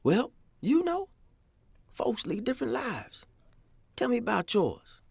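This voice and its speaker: Man playing a husky, gravelly old storyteller. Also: very high voice, huge pitch swings, slow, darkish tone, no echo, heavily acted. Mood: easygoing, wry. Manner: drawling, folksy, teasing. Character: old former slave, teasing, easygoing musician, male. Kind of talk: nostalgic audio drama acting.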